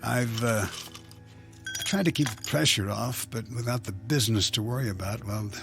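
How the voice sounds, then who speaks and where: A man's voice: mid-range voice, normal speed, gravelly, music in the background, natural voice. An actor playing a controlling, uneasy husband in a radio drama, hesitant and stonewalling as he speaks. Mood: uneasy, downcast. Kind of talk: modern radio drama acting.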